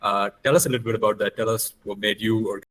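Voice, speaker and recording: A man's voice: lowish voice, steady tone, fast, fairly bright tone. Hesitant man opening a sales call. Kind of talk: video call conversation. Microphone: video call.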